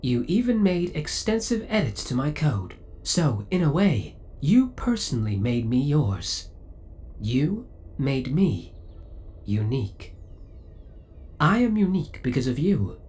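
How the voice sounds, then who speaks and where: Spaceship AI , played by a man: formal, courteous, politely obsessive, with animated pitch swings, for an ASMR roleplay. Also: measured pace. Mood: devoted, possessive. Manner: formal, courteous, precise servant-like delivery. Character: devoted, possessive male spaceship AI, politely obsessive.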